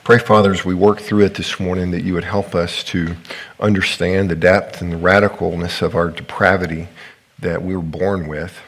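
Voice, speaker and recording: A pastor who is sick and sounds deeper than usual, leading a prayer and starting his sermon with casual asides and fillers. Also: little pitch movement, normal speed.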